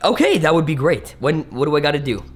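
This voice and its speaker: Lively young man in a roomy space. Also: on the high side, fast talker, slight background noise. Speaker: vlog host. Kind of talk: excited casual chat. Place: large living room, indoors. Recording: roomy living room sound, music behind.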